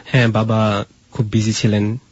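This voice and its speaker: A man's voice: lowish voice, little pitch movement, normal speed, husky, dry recording, highs cut off. A man from Mymensingh in Bangladesh, an interview guest telling personal stories in a conversational way. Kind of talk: personal storytelling in casual conversation.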